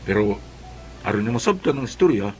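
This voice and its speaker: A man's voice: on the low side, big pitch swings, brisk, faint music behind. A man playing a mild, long-suffering husband and exasperated father, narrating and acting in a comedic radio drama. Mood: resigned, confiding.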